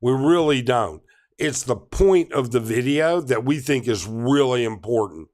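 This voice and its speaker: Middle-aged man, unhurried stream host, hesitant and rambling, mid-pitched. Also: animated, darkish tone. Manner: halting, many ums and restarts, reads chat aloud. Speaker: livestream host. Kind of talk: casual live Q&A reading chat.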